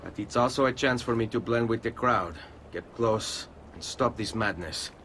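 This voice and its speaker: An actor playing a determined young assassin, earnest and resolute, in a video game. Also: male voice, lowish voice, normal speed, fairly bright tone, a little hiss or hum. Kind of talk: video game cutscene acting.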